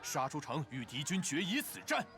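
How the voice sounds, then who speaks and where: An actor playing a proud, defiant warlord in a video game, speaking in a boastful, declamatory way. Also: male voice, on the high side, very animated, a little fast, music in the background.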